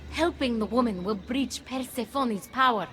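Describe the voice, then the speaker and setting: An actress playing a commanding goddess of witchcraft in a video game, aloof and scheming, with a measured, imperious delivery. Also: a little high, natural voice. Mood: scheming, brisk. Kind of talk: video game cutscene acting. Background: background music.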